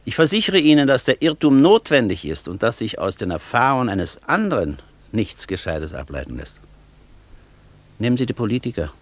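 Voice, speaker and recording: An actor playing an aloof, world-weary old Faust who speaks in sententious, disdainful aphorisms in a German radio play. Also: male voice, mid-range voice, sing-song. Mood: dry, sententious. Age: older. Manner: sententious, disdainful, deliberately simple.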